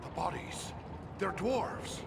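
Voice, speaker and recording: Gruff blunt fighter from a fantasy game, gravelly, pitch jumps around a lot. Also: male voice, animated, takes it slowly, noisy background, exaggerated voice. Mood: blunt, wary. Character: gruff, blunt male warrior companion.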